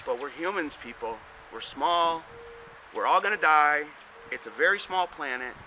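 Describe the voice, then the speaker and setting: A rambling man talks business advice in a loose, folksy monologue, stumbling and repeating words as he goes. His voice sits a bit high for a man. Steady traffic noise from outdoors runs clearly behind him. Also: moderate pace. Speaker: host giving business advice. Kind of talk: casual monologue to camera.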